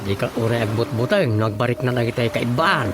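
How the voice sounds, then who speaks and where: A man's voice: medium pitch, fairly quick, music in the background, close to an everyday voice. A man playing a wry village councilman in a comic radio drama, with a teasing, folksy manner. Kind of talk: radio drama comedy acting.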